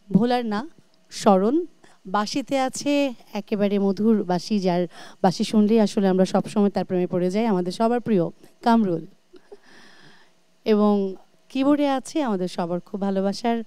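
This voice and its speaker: A woman's voice: mid-range voice, dark tone, clear, resonant tone, dry recording. A Bangladeshi woman hosting a music show, speaking graciously and effusively to her guest and viewers. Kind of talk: presenting, chatting with guest and viewers. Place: TV studio.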